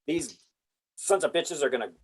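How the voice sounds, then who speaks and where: A man's voice: a little high, expressive, moderate pace, husky. A man playing a principled, blunt military colonel, profane and indignant, in a script table read. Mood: bitter resolve.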